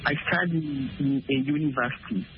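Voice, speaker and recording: A man in his twenties, a student calling in, speaking haltingly with repeated words. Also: a little high, steady tone. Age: 20s. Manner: hesitant, halting speech with repeats. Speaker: student caller. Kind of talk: casual chat. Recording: tinny phone line with hiss.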